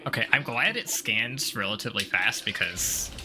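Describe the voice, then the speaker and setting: A man's voice: lowish voice, expressive, moderate pace, noisy background. A man giving casual live commentary as he plays a video game, rambling and thinking aloud in a blunt, informal way. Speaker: streamer doing a game playthrough.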